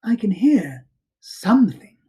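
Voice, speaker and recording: A composed young storybook boy, played by a woman. He speaks in an unhurried, reassuring way with a lilting voice, slightly distant from the mic. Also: mid-range voice, big pitch swings, warm tone, voice pushed up. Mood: calm.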